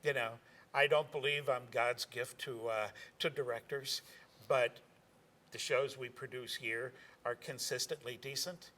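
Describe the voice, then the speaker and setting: A man's voice: medium pitch, fairly even intonation, measured pace, bright, forceful tone. Hesitant man, a theater director. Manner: hesitant, lots of fillers, chuckles. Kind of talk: conversational interview answers. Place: theater stage.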